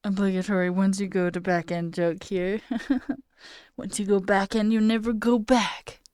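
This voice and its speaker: A woman's voice: medium pitch, little pitch movement, normal speed, a little mellow, clean tone, no room sound, studio quality. A young woman with a slight sore throat, casually chatting on a live coding stream, reading chat aloud and muttering at her code. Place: small room indoors.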